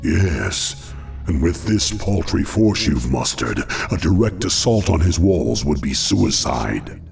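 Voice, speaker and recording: A scheming demon lord talks with formal, sardonic disdain. The very deep voice rasps with gravel, in a fantasy game scene with faint music behind. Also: male voice, big pitch swings, takes it slowly, heavily acted. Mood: disdainful. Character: scheming demon dreadlord, haughty and sardonic servant. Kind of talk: video game cutscene acting.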